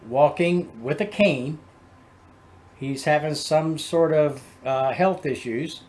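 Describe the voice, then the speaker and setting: Man rambling casually, slightly high-pitched voice. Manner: rambling, halting, explanatory. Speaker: host of a music reaction video. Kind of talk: casual chat, music commentary.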